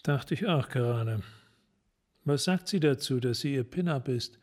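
An actor playing a hard-boiled police sergeant in a German radio crime drama, speaking tersely and directly. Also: male voice, medium pitch, expressive, unhurried, darkish tone. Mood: coolly probing. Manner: terse, direct, clipped sentences.

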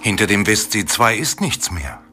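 An actor playing a stubborn, dismissive cave expert in a radio drama, pedantic and matter-of-fact. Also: male voice, lowish voice, very animated, normal speed, pushed and bright, faint music behind.

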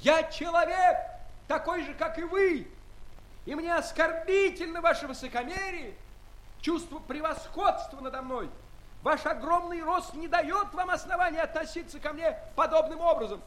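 An actor in an audio play playing a tiny, dignified human traveler among giants, speaking formally and earnestly. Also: male voice, extremely high pitch, normal speed, a bit bright, rough recording. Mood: indignant, proud.

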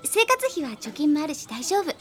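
Anxious soft-spoken young woman, radio drama. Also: sits high, sing-song, brisk, soft background music. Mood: friendly. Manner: soft, hesitant.

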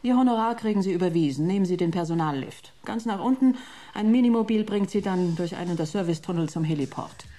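A woman acting an icy executive with a mellow, dark tone and lively swings of pitch. She is curt and imperious, issuing orders as in a radio drama. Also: quick pace, close to an everyday voice. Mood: dismissive. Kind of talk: German radio play acting.

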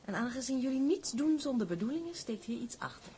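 Fairly high, probing shrewd woman. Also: big pitch swings, fairly quick, dark tone, a little hiss or hum. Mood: inquisitive. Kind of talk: radio drama acting.

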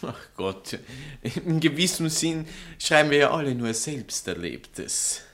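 A man's voice: a little high, sing-song, takes it slowly, fairly bright tone, slight background noise, heavily acted. An actor playing a vain, glib male novelist in a 1960s German radio play, with a pompous, florid, theatrical delivery. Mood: pompous, provocative. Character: vain, glib male novelist, cornered lover turned defiant.

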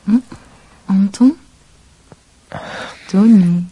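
A woman playing a coquettish, demanding young fiancée in a radio play, with a husky, breathy voice. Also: mid-range voice, very slow, dark tone, poor audio. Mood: cooing, teasing. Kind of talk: Dutch radio play acting.

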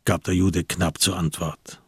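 A man narrating a radio crime drama in a measured, literary, sober way, with a husky voice. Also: low-pitched, flat delivery, no room sound, studio quality, full character voice. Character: sober storyteller of a crime tale.

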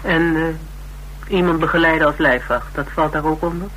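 An actor playing a polite, inquisitive interviewer in a radio drama, probing with courteous, lightly amused questions. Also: male voice, fairly high pitch, steady tone, normal speed, a bit bright, lightly acted, rough recording. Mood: curious, lightly amused. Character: polite, inquisitive male interviewer sizing up a recruit. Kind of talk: naturalistic Dutch radio drama acting. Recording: radio recording with background hiss and hum.

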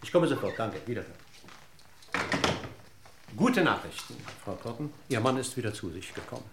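An actor playing a seasoned police inspector in a radio crime drama, deliberate and insinuating, with ironic courtesy and a gravelly voice. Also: male voice, mid-range voice, sing-song, heavy background noise. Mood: brisk, pointed.

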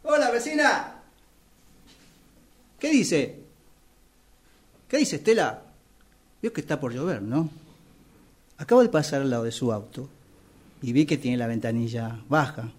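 A man's voice: medium pitch, sing-song, a little slow, long pauses. A man with a Rioplatense Argentine accent acting a chatty, over-familiar, effusive acquaintance in a radio play. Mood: neighbourly, insistent. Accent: Rioplatense Argentine Spanish. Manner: effusive, chummy.

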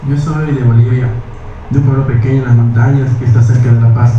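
A man's voice: medium pitch, little pitch movement, fairly quick, mellow, a little hiss or hum, close to an everyday voice. A man playing an exhausted male migrant on a long walk. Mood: tired. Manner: tired. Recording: dull, echoey video playback.

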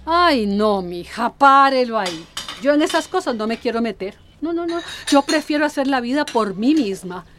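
A high-pitched, middle-aged mother in a radio soap, proud and ambitious. She leans on her words and brushes others off, over clearly noisy clinking of dishes. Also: lively intonation, normal speed, hardly any pauses, a bit bright. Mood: firmly refusing. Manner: emphatic, drawling key words, dismissive. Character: proud, stubborn, ambitious mother set on modern city ways. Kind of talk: radio soap opera acting.

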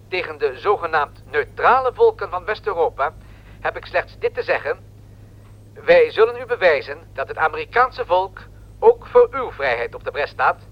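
A man playing a solemn radio news correspondent who formally declaims political statements, in a dull radio recording. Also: high-pitched. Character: solemn male New York radio correspondent quoting the president's rousing speech.

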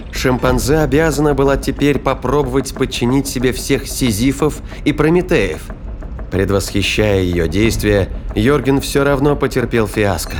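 A man's voice: mid-range voice, a little hiss or hum. A man giving scripted, dramatic narration for a science fiction audio drama.

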